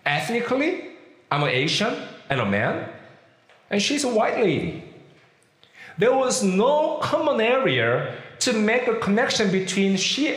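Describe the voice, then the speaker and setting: A man with a Korean accent preaches a sermon, his fairly high voice swooping up and down as he acts out the people in his stories. He leans hard on key words. The sound rings around an echoey room. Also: a bit bright. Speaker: pastor.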